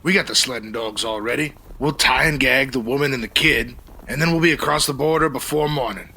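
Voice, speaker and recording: Sharp-voiced scheming frontier outlaw played by a man. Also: medium pitch, brisk, slightly rough. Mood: plotting. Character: scheming male Gold Rush outlaw, ringleader, sly. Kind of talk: comedy audio drama acting.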